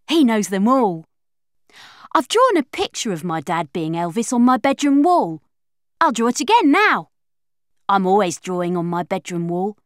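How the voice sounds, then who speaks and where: A lively young girl, put on by a woman reading aloud, tells her own story in a confiding voice. Her pitch sits high and swings widely. The audiobook recording is dry and close. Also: no echo. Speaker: audiobook narrator. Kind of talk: first-person audiobook reading.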